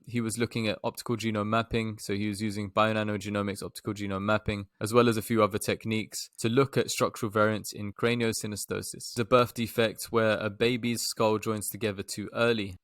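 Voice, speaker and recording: A man with a fairly low voice and a flat, even delivery, recorded close and dry. He talks like an online investing host walking viewers through research news, explaining as he reads and dropping in informal asides. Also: dry recording. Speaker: stock investing video host. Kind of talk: informal research update commentary.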